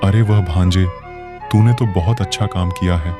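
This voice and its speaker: A man voicing a gruff, commanding tiger uncle who speaks approvingly in a children's storybook tale. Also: deep voice, normal speed, close to an everyday voice. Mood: pleased, urging. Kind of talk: children's moral storybook character voice. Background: music with flute.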